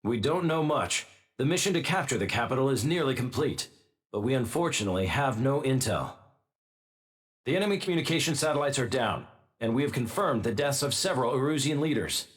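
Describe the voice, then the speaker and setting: An actor playing a sober, businesslike military officer giving a formal, matter-of-fact situation briefing in a video game. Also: male voice, medium pitch, expressive, fairly quick, close to an everyday voice. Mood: grave, businesslike.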